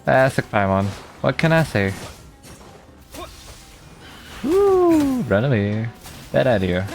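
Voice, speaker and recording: A man's voice: mid-range voice, wildly swooping pitch, unhurried. A man giving casual gameplay commentary as a streamer, reacting in a slangy, exclamatory way. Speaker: streamer playing and reacting to a game. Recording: game music faintly behind.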